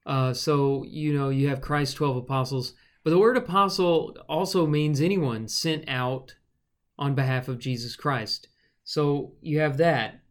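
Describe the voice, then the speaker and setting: A man with a thin, reedy voice, co-hosting a podcast and explaining Bible passages in a thoughtful, unhurried way, with frequent fillers. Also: mid-range voice. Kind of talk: casual teaching conversation.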